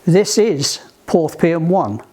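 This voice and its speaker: A man giving informal, practical instruction to a group, halting and often restarting his phrases. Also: on the high side, lively intonation, warm tone. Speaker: instructor at a sailing club radio session. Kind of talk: informal instructional talk with questions.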